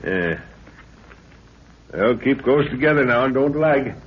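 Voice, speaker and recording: An actor playing a brisk, commanding professor who leads a jungle expedition in a 1930s radio drama, authoritative and courteous. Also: male voice, medium pitch, lively intonation, breathy edge. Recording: muffled, noisy 1930s radio recording.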